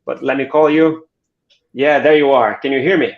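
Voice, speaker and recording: A man's voice: medium pitch, steady tone, normal speed. A man hosting a live webinar over a video call, speaking hesitantly with frequent fillers and repeats. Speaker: host. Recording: dull echoey video call audio.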